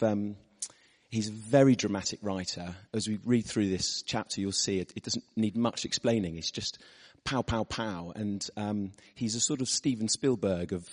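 A preacher with a hoarse, husky voice, giving a chatty sermon with personal stories to a church audience. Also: male voice, fairly low pitch, darkish tone. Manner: chatty, storytelling, asks the audience. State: hoarse from losing his voice. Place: church hall. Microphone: microphone. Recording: reverberant church hall.

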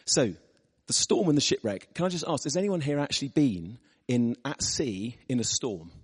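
Husky-voiced man preaching in a hall. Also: mid-range voice, expressive, normal speed. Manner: chatty, storytelling, asks the audience. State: hoarse from losing his voice. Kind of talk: sermon with personal stories. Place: church hall. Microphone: microphone. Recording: reverberant church hall.

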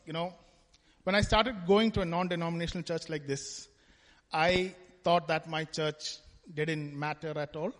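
A man's voice: fairly high pitch, a bit bright. A man from Kerala, India, giving a conversational testimony talk at church and often adding "right?" to his points. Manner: conversational, tags lines with "right?". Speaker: church speaker. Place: church hall. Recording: long hall reverb.

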